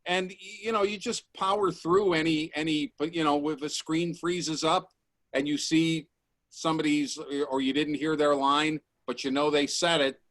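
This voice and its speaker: A man talking casually on a video call, telling stories in a dry, joking, halting way. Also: fairly high pitch, steady tone, a bit bright, no room sound. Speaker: actor on a post-show panel. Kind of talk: casual storytelling. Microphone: video call microphone.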